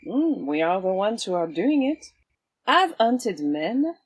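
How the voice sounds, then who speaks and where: A woman's voice: mid-range voice, sing-song, slow pace, husky, heavily acted, low-quality recording. A woman playing a cool, wry swashbuckling vigilante with a sly, teasing, theatrical manner in an audio drama. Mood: wry, confident.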